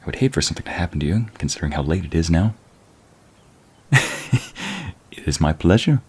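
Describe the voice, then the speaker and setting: A man playing a shy, courteous, smitten concert violinist in a romantic ASMR roleplay, speaking with halting, formal politeness. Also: low-pitched, lively intonation, darkish tone, husky, exaggerated voice. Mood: shy, hopeful.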